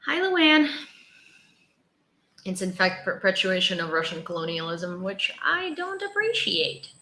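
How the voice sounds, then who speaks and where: Young woman from Ukraine, livestream host, chatty and rambling, bright voice, echoey room. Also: mid-range voice, sing-song, a little slow, a little hiss or hum. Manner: chatty, rambling, many fillers and restarts. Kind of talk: casual livestream chat, reading viewer comments.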